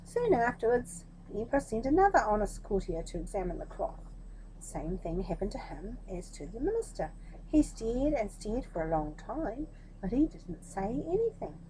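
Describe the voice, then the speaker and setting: A woman tells a children's story in a mellow voice with very varied intonation. She is expressive and speaks straight to her young listeners. Faint room noise sits behind her. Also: a little high, normal speed, rough recording. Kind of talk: children's storybook reading with chatty asides.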